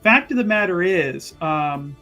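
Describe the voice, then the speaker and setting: Man playing a placating, higher-voiced schemer. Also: expressive, a little slow, bright, forceful tone, faint music behind, full character voice. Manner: hesitant, placating. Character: smooth-talking male schemer, improvising excuses.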